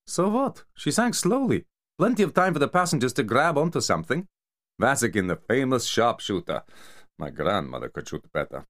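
A man voicing a sharp-tongued, sarcastic mafia enforcer who scolds his partner. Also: medium pitch, big pitch swings, moderate pace, pitched above the performer's own voice. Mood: scornful.

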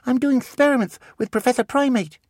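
A man's voice: extremely high pitch, fast talker, darkish tone, no room sound, clean studio sound, voice pushed up. A man voicing a smug, precocious little boy who fancies himself a scientist. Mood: smug child.

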